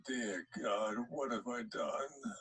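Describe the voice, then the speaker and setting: Man acting a slow, weary superhero dad. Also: mid-range voice, fairly bright tone, husky. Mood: weary, dry. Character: weary, deadpan superhero father.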